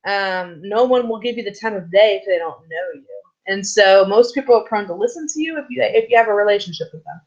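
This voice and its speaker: A woman teaching a recorded church lesson off the cuff, hoarse from a sore throat, with many fillers and restarts. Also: medium pitch, fairly quick. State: sore throat, losing her voice. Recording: slightly dull, lo-fi recording.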